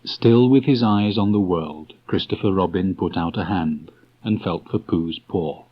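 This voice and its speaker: Slow, husky male storybook narrator. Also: deep voice. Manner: measured, unhurried. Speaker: audiobook narrator. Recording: dull restored cassette tape.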